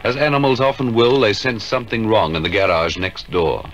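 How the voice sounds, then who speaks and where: A man's voice: fairly low pitch, fairly even intonation, fairly quick, fairly bright tone, muffled recording, faint music behind. A man giving formal, dramatic scripted narration for a 1950s radio drama. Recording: noisy old 1950s radio recording.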